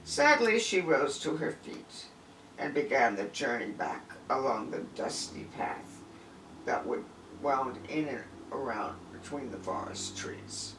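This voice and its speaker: A man's voice: medium pitch, sing-song, takes it slowly. A man reading a folk tale aloud expressively, with dramatic, emphatic phrasing. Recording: distant, echoey room with faint noise.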